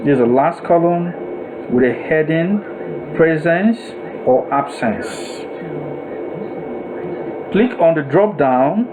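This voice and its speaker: Slow-paced male tutorial instructor, noisy recording. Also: a little high, lively intonation. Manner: deliberate, instructional, repeats and rephrases himself. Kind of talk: step-by-step software tutorial narration.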